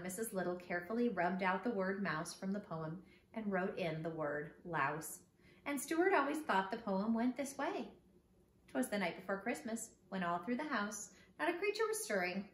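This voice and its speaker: A woman reading a children's storybook aloud expressively, speaking to young listeners as a teacher would. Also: medium pitch. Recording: echoey room with background noise.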